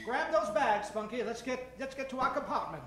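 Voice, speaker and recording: Very high-voiced, jaunty adventurer professor. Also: male voice, expressive, a little fast, roomy sound, crowd noise. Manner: hearty, old-fashioned chummy patter. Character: jaunty, breezy adventurer professor, patronizing old chum. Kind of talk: old-time radio comedy acting.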